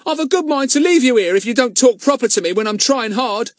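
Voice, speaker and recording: A man's voice: very high voice, lively intonation, a little fast, no room sound, clean studio sound, pitched above the performer's own voice. A man doing a cheeky, panting young boy. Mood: indignant. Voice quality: out of breath.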